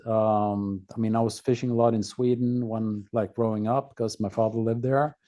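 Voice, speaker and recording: A man from Norway with a Norwegian accent, a podcast guest telling his own story casually in a halting, laconic way. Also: fairly low pitch, steady tone, warm tone, highs cut off.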